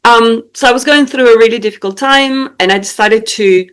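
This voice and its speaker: A woman's voice: on the high side, fairly quick, a bit bright, clear, resonant tone. A young woman with an Italian accent, a singer-songwriter chatting casually in an interview, with lots of fillers and hesitations. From Italy. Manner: laughs easily, many fillers and hesitations.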